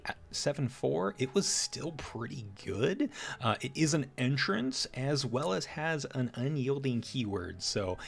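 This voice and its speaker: A man's voice: medium pitch, creaky. Casual man presenting game updates. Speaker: presenter of game patch notes.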